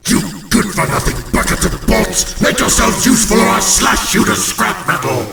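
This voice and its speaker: A man's voice: high voice, expressive, moderate pace, creaky, over loud music, exaggerated voice. A man playing a grandiose, vengeful monster villain in a horror game, pompous and menacing, speaking in archaic, theatrical language. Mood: furious. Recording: echoey reverberant hall, distant, loud noise.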